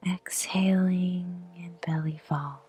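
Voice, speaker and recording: Whispering, very breathy low-voiced woman. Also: fairly even intonation, faint music behind. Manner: soft whispered delivery; slow, soothing pacing with long pauses. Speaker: meditation guide. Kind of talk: guided meditation.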